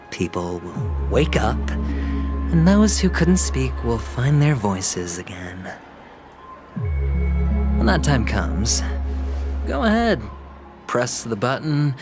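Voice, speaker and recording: An actor playing a suave, confident male schemer in a video game, speaking in a smug, measured, theatrical manner. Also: medium pitch. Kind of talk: dramatic video game voice acting.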